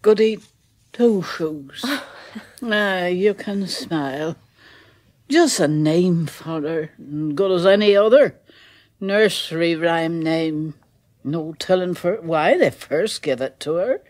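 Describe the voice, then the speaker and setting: A superstitious old countrywoman, slow and deliberate in her speech. She speaks in rustic dialect and lets her voice sink to an uneasy whisper, as in an old radio play. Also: lowish voice, expressive, darkish tone. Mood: ominous. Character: older village woman, a nervous, superstitious local. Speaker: village neighbour. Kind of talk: radio drama acting.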